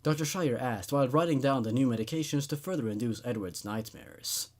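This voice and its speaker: A man's voice: mid-range voice, brisk. A man reading a horror story aloud as host, in a dramatic narrating style.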